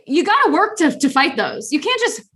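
A young woman co-hosting a podcast, chatting casually in an animated, sarcastic way and often laughing. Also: high-pitched, brisk. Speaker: podcast co-host. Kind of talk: casual chat.

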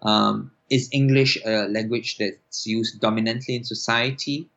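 A man in his forties from Singapore, an applied linguist answering interview questions in a measured way with many fillers and restarts. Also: on the low side, fairly even intonation, gravelly, fairly bright tone. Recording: dull lo-fi sound.

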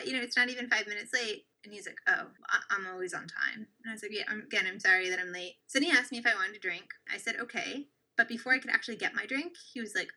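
Young woman co-host, animated storyteller, quick delivery, slightly high voice. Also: rough recording. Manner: animated, acts out quoted lines. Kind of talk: casual storytelling chat.

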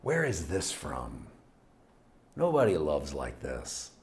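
A man preaching a sermon, building with repeated phrases and dropping to hushed, intimate passages. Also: a bass voice, huge pitch swings, slow. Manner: emphatic, repetitive preaching cadence, swings from loud to hushed. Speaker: pastor.

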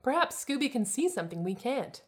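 Woman as a deadpan brainy young sleuth. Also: a little high, a little fast, slightly rough, pitched above the performer's own voice. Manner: dry, matter-of-fact.

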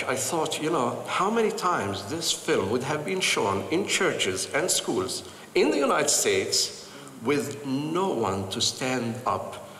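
An older man from Palestine telling personal stories to an audience with earnest, animated delivery and frequent pauses. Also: medium pitch, a little hiss or hum. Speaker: speaker. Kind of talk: personal storytelling talk. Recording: echoey hall with light ambience.

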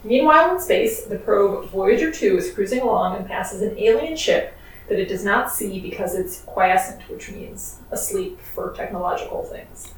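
Quiet woman, very echoey and distant. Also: medium pitch, lively intonation, moderate pace. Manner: quiet, soft-spoken. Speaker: podcast co-host. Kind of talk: casual chat and recap. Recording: very echoey, distant from mic, faint noise.

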